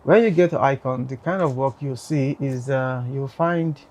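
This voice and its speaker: A man's voice: medium pitch, moderate pace, a little mellow. A male artist from Nigeria speaking reflectively about his work, constantly dropping "you know". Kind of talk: talking about his artwork.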